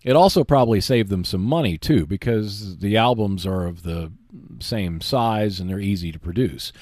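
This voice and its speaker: A man hosting a hobby show, giving explanatory, semi-scripted commentary in a measured, emphatic way. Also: fairly low pitch, darkish tone, dry recording, clean studio sound. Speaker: host of a board game video show.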